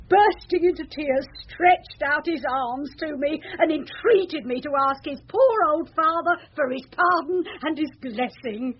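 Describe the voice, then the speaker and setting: A woman playing a gentle, tender-hearted nurse, sweet and emotional, in old-time radio drama style. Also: high voice. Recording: dull, noisy old radio recording.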